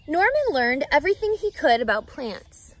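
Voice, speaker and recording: Animated, high-voiced woman storyteller. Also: moderate pace. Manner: expressive, emphatic, addresses children with questions. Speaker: reading teacher, storyteller. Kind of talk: children's story read-aloud with asides. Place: outdoors in a grassy lakeside yard.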